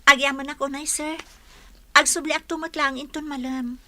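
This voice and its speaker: High-voiced polite caregiver played by a woman. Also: little pitch movement, normal speed, bright, forceful tone, slight background noise. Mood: grateful. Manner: deferential. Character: polite, devoted young female caregiver. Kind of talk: radio drama acting.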